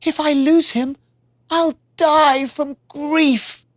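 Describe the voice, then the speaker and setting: A woman voicing a grief-stricken young princess who pleads in a high, fearful voice. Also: steady tone, drawn-out words, no echo, studio quality. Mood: despairing.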